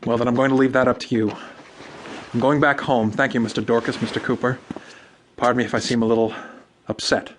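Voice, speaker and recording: A man plays a jittery American client with a grievance in a radio drama. He talks quickly at a middling pitch, edgy and insistent, obsessed and easily nettled. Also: flat delivery, a little hiss or hum, lightly acted. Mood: touchy.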